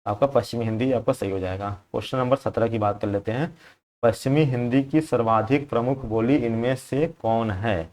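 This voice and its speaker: Young male tutor, mid-pitched voice, chatty, repeats points and checks in often. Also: brisk, darkish tone. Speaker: exam prep teacher. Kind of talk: explaining exam questions to viewers.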